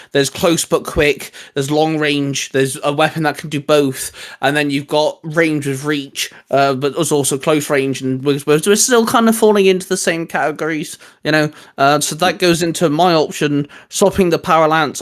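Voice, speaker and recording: A man with a slightly high voice chats casually as a show host. He rambles through his geeky points, often padding them with "you know" as he goes. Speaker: host of a hobby discussion show.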